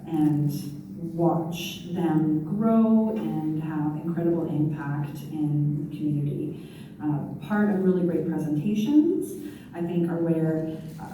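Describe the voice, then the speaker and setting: A woman facilitator reflecting in a thoughtful, measured way, with many fillers. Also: deep voice, mellow, slight background noise. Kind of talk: reflective commentary. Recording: echoey room, distant mic.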